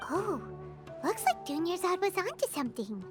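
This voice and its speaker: A woman voicing a tiny childlike fairy companion in a video game, squeaky, chatty, excitable and inquisitive. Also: moderate pace. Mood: curious. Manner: perky, expressive, inquisitive. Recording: game music under the voice.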